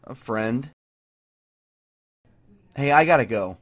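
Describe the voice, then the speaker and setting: A man's voice: mid-range voice, lively intonation, takes it slowly. A man playing an evasive, flustered college student in an audio drama. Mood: evasive, hurried. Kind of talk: podcast audio drama acting.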